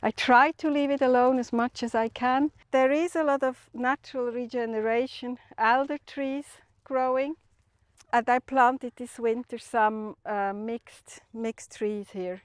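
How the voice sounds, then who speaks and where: A woman's voice: fairly high pitch, steady tone, clear, resonant tone, no room sound. A middle-aged woman from Switzerland, a stud farmer, speaking thoughtfully and unhurriedly outdoors on her farm. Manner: thoughtful, unhurried, with small restarts. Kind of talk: documentary interview.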